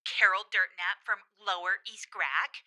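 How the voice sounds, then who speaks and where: A woman playing an indignant small-town metal fan leaving a halting, sweary complaint in a comedy podcast. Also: medium pitch, pushed and bright. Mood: indignant, offended. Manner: halting, filler-laden, sweary. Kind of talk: comedic fake voicemail complaint.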